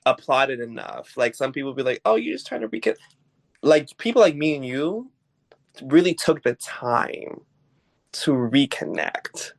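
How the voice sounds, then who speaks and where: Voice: medium pitch, big pitch swings, vocal fry, no room sound. Emphatic, supportive podcast guest. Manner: emphatic, supportive interjections, drawn-out stress on key words. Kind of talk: casual conversational interview.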